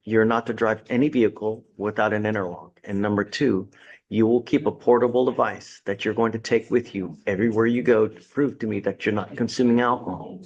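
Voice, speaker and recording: A man's voice: on the low side, fairly even intonation, fairly quick, a little mellow, muffled recording. A man serving as judge in a courtroom, lecturing a defendant from the bench with blunt sarcasm and folksy sayings.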